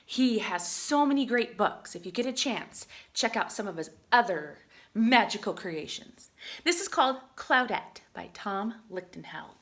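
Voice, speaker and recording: A woman reading a picture book aloud to children as a teacher, expressively, often dropping into hushed asides. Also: mid-range voice, animated, a little slow.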